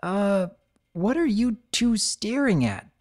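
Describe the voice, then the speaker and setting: A woman voicing a tough young tomboy filly who talks in a gruff, dismissive way. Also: mid-range voice, big pitch swings, takes it slowly, a little mellow, voice pushed up. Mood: defensive. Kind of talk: dramatic audiobook reading.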